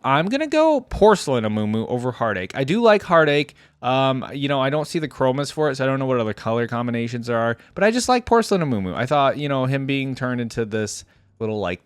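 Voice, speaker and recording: A man's voice: mid-range voice, smooth, no echo. A man hosting a reaction video, giving casual, conversational commentary and thinking aloud between bits of reading.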